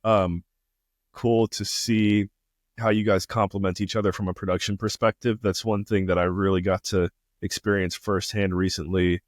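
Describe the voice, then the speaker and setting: A man's voice: sits low, little pitch movement, normal speed, dry recording, studio quality. A man hosting a podcast, laid-back and chatty, full of fillers and easy laughs. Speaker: podcast host. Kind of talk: casual interview talk. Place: Toronto.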